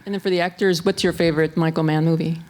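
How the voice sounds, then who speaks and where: A woman journalist asking the director a quick, friendly question from the press floor. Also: low voice, fairly even intonation, darkish tone, a little hiss or hum.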